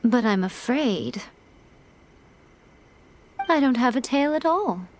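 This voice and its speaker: A woman's voice: fairly high pitch, lively intonation, slow pace, slight background noise. A woman playing a sly, self-assured shrine maiden in an anime game, composed and playfully teasing. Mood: amused, composed.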